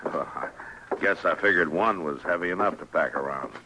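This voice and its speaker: An extremely low-voiced western lawman, laconic and unhurried. He stays calm and kindly throughout. The sound is an old radio drama with clear noise over it. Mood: calm, businesslike. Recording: noisy vintage radio drama recording.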